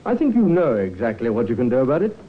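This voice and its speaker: An actor playing a confident police inspector, polite but pressing, in an old time radio drama. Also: male voice, mid-range voice, very animated, fast talker. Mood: coaxing, pressing. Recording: thin phone-like old radio recording.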